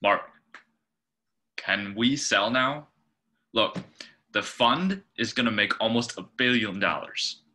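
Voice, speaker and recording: A young man reads an anxious male trader at a home table read. His voice sits low but bright and pushing. He presses and insists, heard through a webcam in a small room. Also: expressive, slow pace, long pauses, exaggerated voice. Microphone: webcam on a video call.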